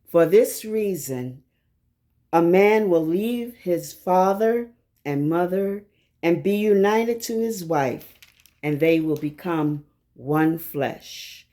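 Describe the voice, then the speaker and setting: A woman reading scripture aloud from a text in a measured, deliberate manner, pausing between short phrases. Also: on the low side, lively intonation, mellow. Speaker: scripture reader.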